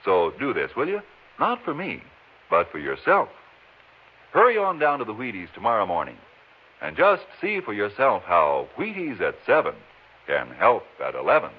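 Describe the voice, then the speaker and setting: A man's voice: fairly low pitch, huge pitch swings, normal speed, breathy edge. A man delivering a 1950s radio commercial in a folksy, chatty, enthusiastic selling manner. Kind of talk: 1950s radio advertising pitch. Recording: muffled old radio broadcast recording.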